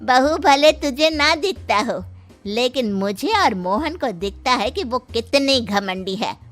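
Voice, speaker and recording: A woman voicing a sharp-tongued, sarcastic elderly mother and grandmother in a family story. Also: high-pitched, expressive, takes it slowly, faint music behind, exaggerated voice. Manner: taunting.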